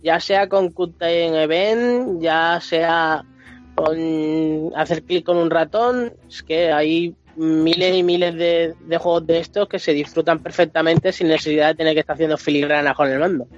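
A man's voice: a little high, steady tone, a little slow. A man with an accent from Spain chatting casually as a podcast panelist, colloquial and emphatic, repeating himself to make his point. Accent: Spanish from Spain. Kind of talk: casual opinionated chat about games. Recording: muffled podcast audio, music underneath.

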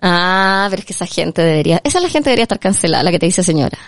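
Gravelly Chilean woman in her thirties. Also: fairly low pitch, sing-song, very fast, no echo. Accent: Chilean Spanish. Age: 30s. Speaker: host. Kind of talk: casual chat.

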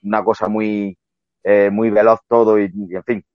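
Man, low-pitched, relaxed rambling talk, dull lo-fi audio, dry. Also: moderate pace, no echo. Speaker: guest, sailing youtuber. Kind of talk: casual video interview. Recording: dull lo-fi sound.

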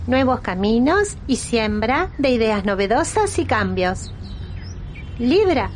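An Argentine woman playing a needy, excitable talking newspaper in a radio play, with theatrical, childlike eagerness. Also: high voice, slight background noise.

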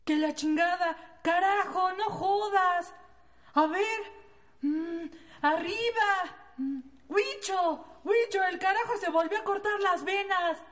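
A woman acts out a foul-mouthed, mocking Mexican inmate in a radio drama. The high voice is coarse and bitter, ringing through a long reverb. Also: slow. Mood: urgent, alarmed.

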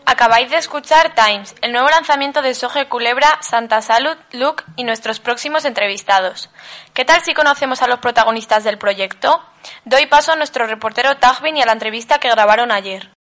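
A woman radio host reading a loud, scripted link between segments. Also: a little high, a little fast.

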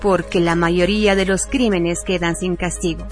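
A woman's voice: fairly low pitch, steady tone, moderate pace. A woman reading a scripted film review as a radio presenter. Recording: prominent music bed under voice. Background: music.

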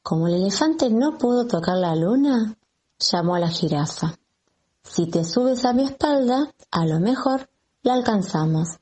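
Mellow breathy woman, Argentine accent, children's story narration. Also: mid-range voice, lively intonation, measured pace. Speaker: kindergarten teacher.

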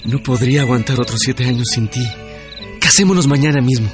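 A man's voice: medium pitch, brisk, natural voice. An Ecuadorian man playing an earnest young schoolteacher, in love and wounded, in a radio drama. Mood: tender. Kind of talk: Spanish-language radio drama acting and narration. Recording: long reverb, music behind.

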